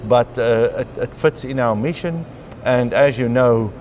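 A man in his fifties with a South African accent, telling his story in a relaxed conversational interview, recorded on a lapel mic in a large glass atrium. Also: medium pitch, measured pace, slight background noise. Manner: chatty, frequent ums and clears throat. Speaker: interviewee, mycologist.

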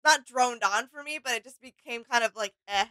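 A woman's voice: a little high, fairly quick, bright, forceful tone, no room sound, clean studio sound. A young woman chatting casually as a reaction video co-host. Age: young adult. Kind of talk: casual chat. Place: small indoor home studio room.

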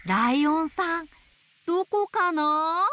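A gentle motherly owl from a children's program, reads picture books slowly in a high, very lively voice. She is sing-song and playful with sound words, with some light nature noise behind her. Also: exaggerated voice. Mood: playful storytelling. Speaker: picture book storyteller for babies. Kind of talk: picture book read-aloud for toddlers. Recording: faint background noise of nature.

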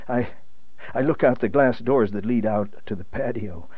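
A man's voice: mid-range voice, normal speed, breathy edge, no room sound, natural voice. An actor playing an anxious, troubled male patient in a radio play, speaking hesitantly and stammering. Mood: frightened, reluctant. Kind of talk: radio drama acting. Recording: dull sound with faint noise.

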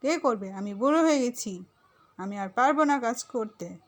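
A woman voicing a bossy, ageing mother-in-law in a cartoon, nagging with sharp questions. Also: on the high side, animated, moderate pace, lightly acted. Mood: sarcastic nagging.

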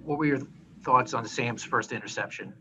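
A male reporter with a bright, forceful voice pressing a coach with skeptical questions. The sound is a little dull, with faint room ambience behind him. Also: mid-range voice, moderate pace, a little hiss or hum.

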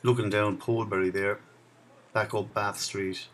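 A man's voice: fairly low pitch, level tone, normal speed, a bit bright, slight background noise. A man, walking and chatting about the local sights as he films outdoors. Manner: chatty, reminiscing as he walks. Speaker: video blogger. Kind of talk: casual walking commentary. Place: outdoor riverside path in a town.

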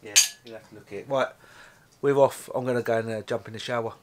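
A man's voice: medium pitch, fairly even intonation, fast talker, darkish tone. A middle-aged man chatting casually on a bed, rambling and cheeky, laughing at his own jokes. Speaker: vlog co-host. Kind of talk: casual chat. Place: bedroom, sitting on a bed.

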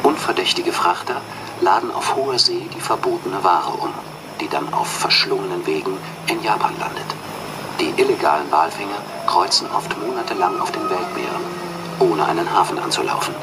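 A man playing a solemn nature documentary announcer, reading formal scripted narration in a measured manner. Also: low-pitched, background noise.

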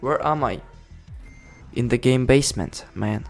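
A man giving casual commentary over a management game, excitable, swearing and yelling orders at his units. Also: medium pitch, a little slow, creaky, soft background music. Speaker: gaming channel host.

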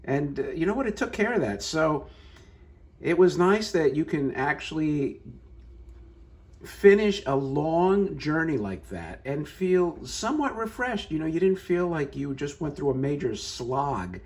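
A man talking casually while filming his car from a phone, rambling with many fillers and restarts, first in a hotel room and then out in a parking lot. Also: mid-range voice. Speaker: car vlogger. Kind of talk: casual off-the-cuff commentary.

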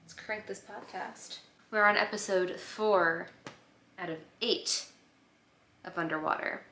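A woman's voice: medium pitch, slow, sharp tone, reverberant, slight background noise. A woman in her thirties chatting casually to a camera as a vlog host.

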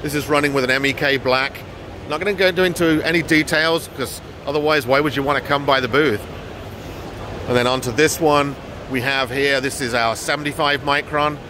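A man's voice: medium pitch, steady tone, normal speed. A middle-aged man giving an informal product tour to camera as a company representative, recorded on a handheld phone in a large trade show hall. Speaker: business development manager presenting products. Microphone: handheld phone at arm's length. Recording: noisy exhibition hall ambience.

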